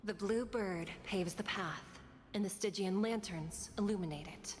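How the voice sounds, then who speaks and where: An actress playing a composed, formal female judge and prison guide in a game, speaking with solemn, measured ceremony. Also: mid-range voice, slight background noise, natural voice. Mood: composed, instructing.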